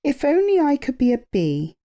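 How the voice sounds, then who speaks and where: A woman voicing a sheepish, honey-mad male bear in a children's storybook, with a hesitant, drawn-out delivery. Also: high voice, wildly swooping pitch, mellow, voice pushed up. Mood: wistful.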